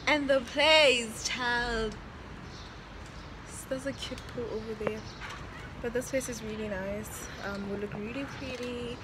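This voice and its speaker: A woman's voice: a little high, steady tone, takes it slowly, background noise. A young woman from South Africa chatting to her phone camera on a girls' trip vlog, laughing often. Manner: chatty, laughing often, says "child" for emphasis. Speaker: vlogger. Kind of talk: casual vlog chat. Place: hotel room, later a cafe. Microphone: phone held at arm's length. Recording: music playing behind.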